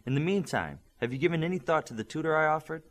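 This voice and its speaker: A man reading the part of a confident male coach who leads a young team, in a calm, assured voice. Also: a little high, fast, voice pushed up.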